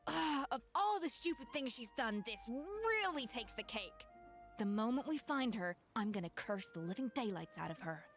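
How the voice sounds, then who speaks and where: Casting: sharp-tongued young female game companion, animated, fairly high, over background music. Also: normal speed. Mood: exasperated. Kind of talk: video game voice acting.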